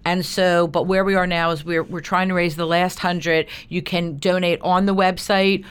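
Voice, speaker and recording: A woman speaking informally as an interview guest, a film producer who tells her story in an animated way and acts out remarks. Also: lowish voice, normal speed, clear, resonant tone.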